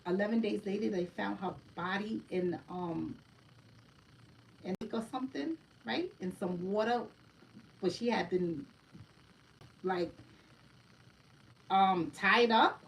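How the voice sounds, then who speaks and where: A woman's voice: mid-range voice, slow pace, vocal fry, pauses often, distant mic, poor audio. Female card reader, conversational and informal, sitting back from the mic with a light noise floor. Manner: chatty, keeps asking "right?" and calls listeners "family". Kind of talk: casual livestream card reading.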